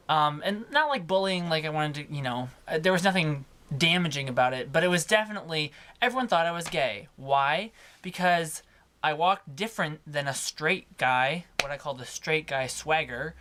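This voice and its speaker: A young man speaks in a naturally high voice for a male, chatting as a vlogger would. His delivery is casual and personal. He is expressive and leans on certain words, stretching them out for emphasis. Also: moderate pace. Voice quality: higher-pitched voice for a man. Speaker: video blogger. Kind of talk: casual personal vlog talk to camera.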